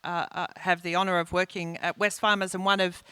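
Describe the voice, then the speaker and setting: A woman's voice: lowish voice, little pitch movement, brisk, sharp tone, clear, resonant tone, dry recording. A woman speaking as a corporate executive on a conference panel, earnest and enthusiastic with many restarts. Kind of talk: panel discussion. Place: conference panel at a live event.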